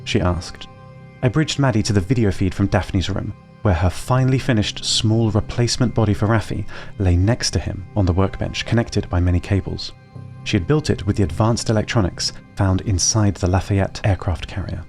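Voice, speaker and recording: Calm low-voiced male drama narrator. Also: soft background music. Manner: calm, measured storytelling. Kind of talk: scripted audio-drama narration.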